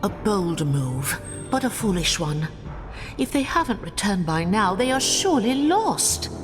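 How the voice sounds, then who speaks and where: A woman's voice: medium pitch, very animated, measured pace. An actress playing a young female wood elf warrior, cool, terse and determined. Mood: resolute. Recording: reverberant with game music behind.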